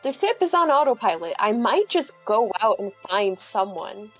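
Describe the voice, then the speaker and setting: A woman's voice: mid-range voice, sing-song, moderate pace, breathy edge, faint music behind, rough recording. A woman playing a tabletop roleplaying game, improvising casually and thinking aloud in a hesitant, informal way.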